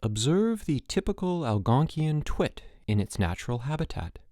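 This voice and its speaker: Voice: mid-range voice, moderate pace, no room sound, voice pushed up, lightly acted. A narrator voicing a dry, unflappable troublemaker boy at summer camp in a story, wearily sarcastic. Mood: deadpan. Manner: deadpan, weary, mock-modest.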